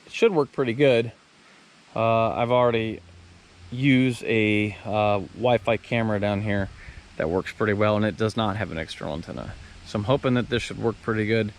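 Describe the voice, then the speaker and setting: A man giving a casual, plainspoken hands-on walkthrough, explaining things step by step as he reviews and installs a product. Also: fairly low pitch. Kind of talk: casual hands-on how-to narration. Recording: clear background noise, ambient sound.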